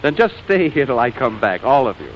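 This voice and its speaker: Man acting a wry wisecracker, animated, muffled vintage sound. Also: medium pitch, fairly quick, close to an everyday voice. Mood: exasperated. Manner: wisecracking, sardonic. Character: wry, hungover wisecracking American playboy. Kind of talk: 1940s comedy film or radio acting. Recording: muffled, noisy vintage 1940s recording.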